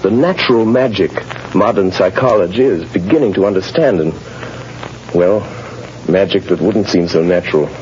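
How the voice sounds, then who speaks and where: An actor playing an urbane, determined gentleman with a secret identity, grave and theatrical in 1930s radio drama style. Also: sits low, normal speed, natural voice. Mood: earnest, resolute. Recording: dull, noisy 1930s radio recording.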